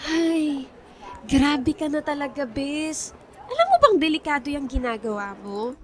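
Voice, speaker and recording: An exasperated young female best friend in a radio drama. Her voice is high and very animated, earnest and scolding but caring. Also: measured pace. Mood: incredulous, teasing.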